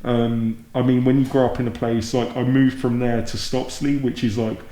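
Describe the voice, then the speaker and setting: A man's voice: mid-range voice, little pitch movement, moderate pace, warm tone. A man from Luton with a southern English accent, chatting informally as a podcast host. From Luton, England. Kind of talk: casual conversational interview. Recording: roomy, echoey indoor sound.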